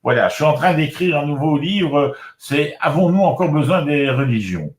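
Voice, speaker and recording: A man in his sixties, born in Congo, talking as an author and guest in a relaxed spiritual chat, often repeating words and restarting. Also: fairly high pitch, moderate pace. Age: 60s. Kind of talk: casual spiritual conversation. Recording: roomy echo.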